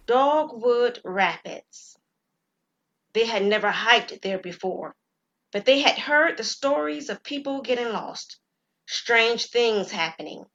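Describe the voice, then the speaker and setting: A woman storyteller reads a scripted suspense tale at a measured pace. Her delivery is clear and builds gentle suspense, in a voice of middle pitch. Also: lo-fi sound. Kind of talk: scripted narration of an audio drama story.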